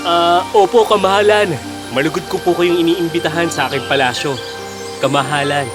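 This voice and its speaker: A man plays a humble young cartoon hero with a fairly high voice. He is courteous, earnest and trusting, as in a children's fairy tale, with music behind him. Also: steady tone, moderate pace, natural voice. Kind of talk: animated fairy tale voice acting. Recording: music under the voice.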